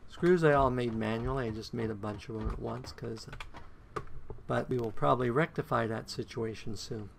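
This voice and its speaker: A man giving casual gameplay commentary on his own play, a bit croaky today, counting items aloud and humming to himself. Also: mid-range voice, moderate pace, noisy background. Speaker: game streamer.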